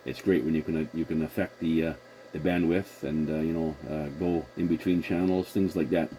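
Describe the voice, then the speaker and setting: A man's voice: rumbling low, flat delivery, a little fast, mellow. A man hosting a casual CB radio conversation, using radio call procedure and rephrasing as he goes, on a noisy recording. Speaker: CB radio operator and video host.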